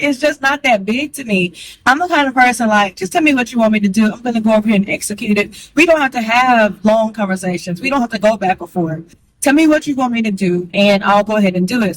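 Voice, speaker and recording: A woman talking fast and candidly to the camera, chatty and frank, with a strong voice. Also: mid-range voice, poor audio. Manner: chatty, candid, calls listener girl; fast talker. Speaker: vlogger. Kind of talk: casual talk to camera.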